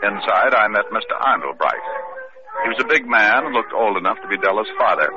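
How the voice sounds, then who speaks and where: An actor playing a wisecracking, world-weary private detective in an old radio drama, with dry deadpan delivery. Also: male voice, lowish voice, a little fast, music in the background, close to an everyday voice. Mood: dry narration. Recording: muffled, noisy old radio recording.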